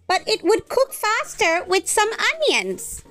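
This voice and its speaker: A woman's voice: very high voice, huge pitch swings, slow, a bit bright, faint music behind, voice pushed up, heavily acted. A woman voicing a cheerful, sly, hungry young man from a storybook, coaxing as he talks.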